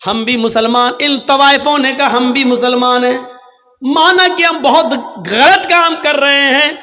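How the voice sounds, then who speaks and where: Guy preaching islamic sermon, really high sharp voice, dramatic storytelling, declaims everything. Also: fairly even intonation, measured pace. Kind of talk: impassioned religious sermon told as a cautionary story.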